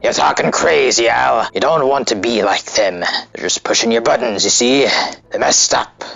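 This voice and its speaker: A man's voice: medium pitch, normal speed, creaky, a bit bright, dry recording, muffled recording. A man playing a loyal, streetwise friend, colloquial and earnest, in a comic dub. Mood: concerned. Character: loyal, streetwise male friend, concerned and pleading.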